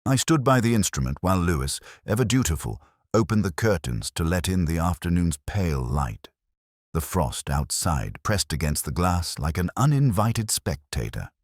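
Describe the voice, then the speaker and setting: Male actor as brooding inspector narrating a detective drama: extremely low voice, expressive intonation, measured delivery. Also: no echo, clean studio sound, heavily acted. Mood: brooding, observant. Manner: measured, literary, reflective. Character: brooding male police inspector recounting a murder case. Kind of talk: first-person scripted detective story narration.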